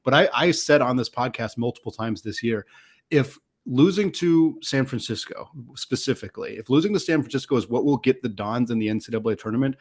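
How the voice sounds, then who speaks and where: A man's voice: medium pitch, brisk. Man hosting a casual sports podcast. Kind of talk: casual sports talk and analysis.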